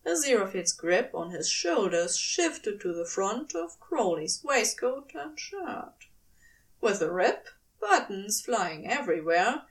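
A woman reading fiction aloud as a narrator, giving an expressive scripted performance. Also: fairly high pitch, recorded from a distance. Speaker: podfic reader and narrator.

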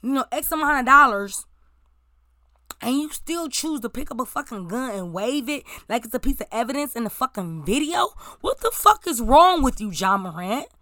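A woman in her forties hosts celebrity gossip with a slightly raspy voice that still cuts through bright and forceful. She talks in a blunt, profane, ranting way. The sound is dry, with no room around it. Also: sits high, lively intonation, moderate pace, no room sound. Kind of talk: casual gossip commentary.

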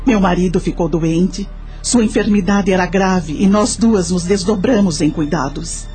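A woman acting as a widowed mother in a radio drama, with a mellow, fairly low voice. She tells her life story in a measured, confiding way, full of gratitude and remorse, over clear background music. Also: natural voice. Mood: sorrowful, confessional. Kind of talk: dramatic first-person radio drama narration.

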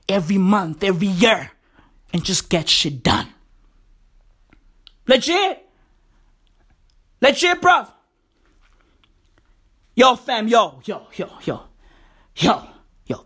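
A woman's voice: mid-range voice, a bit bright, breathy edge, full character voice. A woman playing a cocky young man nearing thirty in a comic monologue. He swears a lot and keeps saying "bruv" and "legit". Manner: brash, swears often, repeats slang like bruv and legit.

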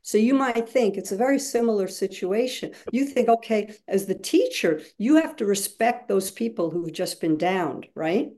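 A woman's voice: mid-range voice, expressive, normal speed, few pauses, mellow. A woman speaking as a guest facilitator in a webinar, explaining emphatically and acting out examples as she goes.

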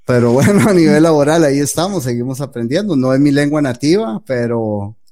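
A man's voice: mid-range voice, animated, fairly quick, dark tone. Hesitant man giving earnest advice. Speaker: guest developer. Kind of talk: casual interview answers.

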